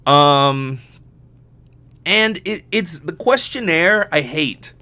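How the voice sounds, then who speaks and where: A man chatting casually as a solo podcast host, rambling and animated, swearing freely and often interrupting himself. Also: fairly high pitch, extremely slow, dry recording.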